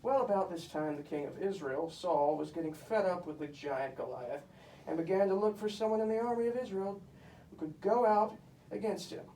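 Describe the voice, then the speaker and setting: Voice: mid-range voice, moderate pace, mellow, natural voice. A boastful, bossy puppet kid telling comic Bible stories , voiced by a performer. The delivery is pompous, emphatic and easily exasperated. It is heard from a distance in an echoey room with faint noise. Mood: self-important storytelling. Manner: pompous, emphatic, mock-scholarly.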